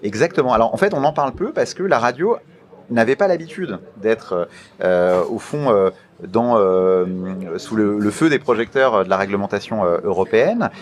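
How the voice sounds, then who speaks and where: A man with a fairly low voice answers interview questions in a formal register. He speaks deliberately, often halting mid-sentence, then stresses his key phrases by repeating them. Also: lively intonation. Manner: deliberate, halting with many hesitations, emphatic repetition of key phrases. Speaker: interview guest, media regulator official.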